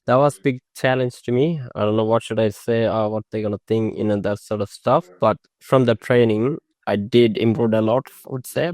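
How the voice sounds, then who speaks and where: Soft-spoken low-voiced non-native man. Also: no echo. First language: not English. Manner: halting, unpolished phrasing with restarts. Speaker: new mowing franchisee. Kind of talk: casual interview.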